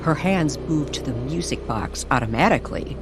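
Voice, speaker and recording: A woman narrating a scripted, old-time radio style mystery story with deliberate, suspenseful phrasing. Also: a bass voice, animated, background noise. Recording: music playing behind the voice. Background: music.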